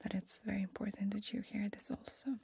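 A woman doing a gentle, reassuring ASMR roleplay as an ear examiner, mostly in a breathy whisper with short pauses. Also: medium pitch, fairly even intonation.

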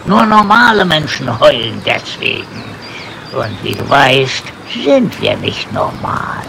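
Man acting gravelly villain sorcerer. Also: mid-range voice, wildly swooping pitch, slow pace, background noise, exaggerated voice. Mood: dismissive. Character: gruff old villain sorcerer with long beard. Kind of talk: audio drama acting.

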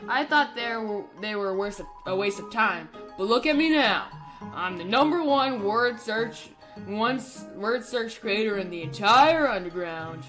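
Woman voicing a slow, boastful game monster. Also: medium pitch, animated, a bit bright, soft background music, pitched below the performer's own voice. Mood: proud, reflective. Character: boastful monster word search creator, slow and earnest.

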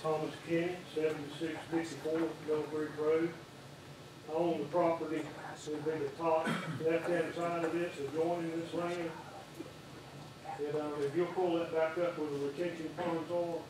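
Brief: older farmer, blunt and plainspoken, echoey room, far mic. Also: male voice, fairly high pitch, little pitch movement, moderate pace, background noise. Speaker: public hearing speaker, farmer. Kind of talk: public comment at a meeting. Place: large public meeting room.

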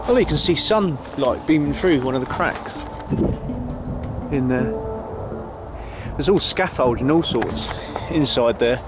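A man's voice: medium pitch, animated, a little slow, long pauses, music in the background. A man, exploring an overgrown site outdoors and commenting casually to an arm's-length camera, with plenty of ums and uhs. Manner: chatty, hesitant with many fillers. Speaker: urban explorer vlogger. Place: outdoors in overgrown grounds of an old tower. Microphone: action camera held at arm's length.

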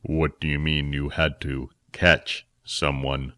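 A man voicing Phil, a stern scaled male creature who talks low, slow and scolding. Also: flat delivery, pushed and bright, exaggerated voice.

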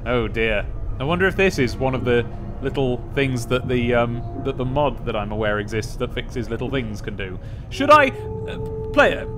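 Male let's play host, young, chatty and expressive, mid-pitched, close mic with soft game music underneath. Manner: chatty, thinking aloud, playfully expressive. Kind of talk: gaming commentary mixed with dramatic reading of game text. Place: small indoor room at a desk. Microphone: close studio mic on an arm.

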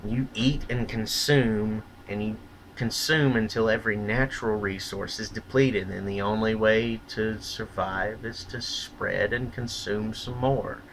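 A man with a fairly low voice and a hard, forceful tone speaks in a solo rant. He is blunt and contemptuous and swears freely. A faint background noise sits under the recording. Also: steady tone, unhurried, low-quality recording. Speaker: vlogger. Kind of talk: opinionated rant monologue.

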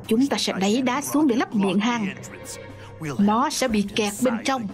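A woman dubbing every character in a cartoon herself, reading the lines in quick, lively turns. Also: on the high side. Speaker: dubbing narrator voicing all cartoon characters. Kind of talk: single-voice cartoon dub. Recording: dub over loud music.

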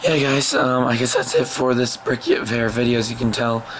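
A hoarse young man who has lost his voice, rambling casually to a camera held at arm's length in a small bedroom, with lots of ums and restarts. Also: medium pitch, level tone, moderate pace, fairly bright tone, slight background noise, low-quality recording. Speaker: vlogger. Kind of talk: casual vlog talk to camera.

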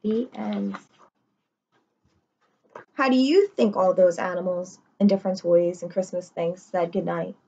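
A woman's voice: fairly low pitch, measured pace, vocal fry, pauses often, warm tone, breathy edge. A young woman reading a children's picture book aloud, expressively, putting questions to her young listeners. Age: young adult. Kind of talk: children's read-aloud with asides. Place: living room, indoors. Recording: slightly dull webcam sound.

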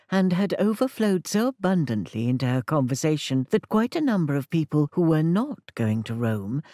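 A woman's voice: deep voice, warm tone, no room sound, clean studio sound. A woman with an English accent reading a literary audiobook as a scripted narration.

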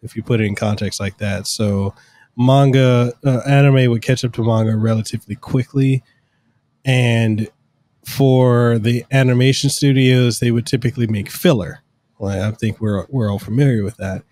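A man's voice: medium pitch, steady tone, normal speed, warm tone. A man co-hosting a podcast, talking casually and rambling through long explanations with fillers, on a studio mic at home. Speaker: podcast co-host. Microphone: studio mic on arm, headphones.

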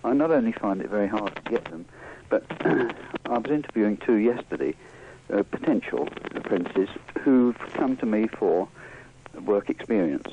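An older man talking hesitantly and haltingly as a caller in a casual radio discussion. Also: lowish voice, expressive. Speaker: employer calling a radio phone-in. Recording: dull, noisy radio phone-in.

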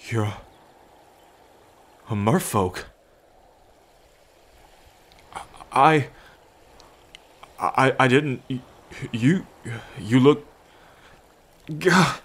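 A man playing a gentle, anxious lone fisherman in an ASMR roleplay, with a halting, reassuring manner. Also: mid-range voice, breathy edge, full character voice. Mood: stunned, anxious.

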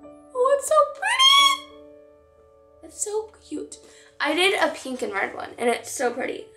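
Young woman vlogger, hoarse from a cold, high and gushing, in an echoey room. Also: unhurried, soft background music. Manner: gushing, excitable. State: hoarse, losing her voice. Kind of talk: casual chat to camera. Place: living room, on a couch.

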